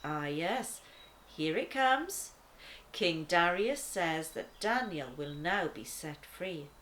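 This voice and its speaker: A woman voicing a calm, patient, courteous guardian angel who explains things in a children's storybook. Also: lowish voice, a little hiss or hum. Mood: courteous, reassuring. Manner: measured explaining, reassuring.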